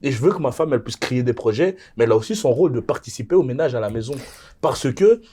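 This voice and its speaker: A man's voice: medium pitch, expressive, quick pace, dark tone, a little hiss or hum. A man, a podcast panelist who talks insistently and pushes to finish his point. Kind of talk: casual panel discussion.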